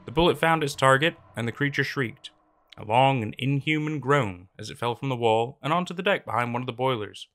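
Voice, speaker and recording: A man's voice: medium pitch, a little fast, a bit bright. A young man narrating a horror story, then chatting casually with lots of fillers and restarts, recorded in a small room at a desk. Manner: rambling, hesitant with many fillers in commentary. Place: small room in front of green screen.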